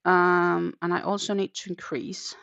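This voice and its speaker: Danish woman, gravelly voice, lo-fi stream. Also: on the low side, measured pace. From Denmark. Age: 30s. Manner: relaxed, counts stitches aloud, chatty with viewers. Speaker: crochet channel host. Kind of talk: casual live-stream chat while crocheting. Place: home in the Netherlands. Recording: dull lo-fi live-stream audio.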